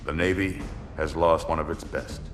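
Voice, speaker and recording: An actor playing a stern fleet commander who gives clipped military orders in a game cutscene. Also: male voice, rumbling low, fairly even intonation, normal speed, over music. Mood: solemn. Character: stern older male fleet admiral. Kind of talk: cinematic game cutscene acting. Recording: roomy mix with loud score.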